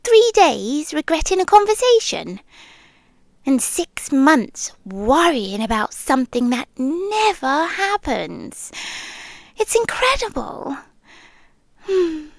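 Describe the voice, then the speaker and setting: A British woman playing a possessive, teasing girlfriend spirit who lives in the listener's head, in soft, breathy, intimate ASMR roleplay that often drops to a whisper. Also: high-pitched, sing-song, dry recording, exaggerated voice. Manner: soft, breathy, slow, deliberate pauses. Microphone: close microphone, dry.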